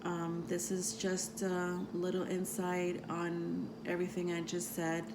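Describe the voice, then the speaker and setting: A woman with a soothing voice, who grew up outside the United States, talking about astrology to her viewers in a meandering way full of ums and soft laughs. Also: on the low side, completely flat delivery, measured pace, noisy background. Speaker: tarot reader and astrology vlogger. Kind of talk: casual rambling talk to camera.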